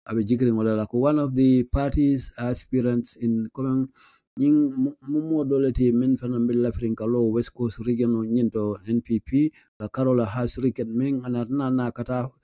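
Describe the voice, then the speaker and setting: A man's voice: mid-range voice, mellow, no room sound. An older man from The Gambia presenting the daily news at a studio desk, reading newspaper stories aloud and slipping English phrases into his talk. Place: small studio desk indoors. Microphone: studio mic on a boom arm, close.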